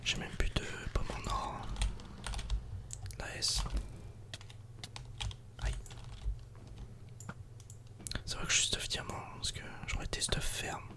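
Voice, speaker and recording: A man whispers a calm, casual ASMR game commentary in a breathy, unvoiced murmur, close to the microphone. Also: measured pace, long pauses. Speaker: ASMR gaming video creator.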